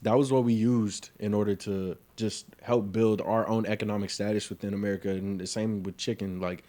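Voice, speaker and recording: A man in his twenties chatting on a podcast in a relaxed way, picking up speed when he explains something. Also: lowish voice, a little fast. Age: 20s. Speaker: podcast co-host. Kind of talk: casual chat.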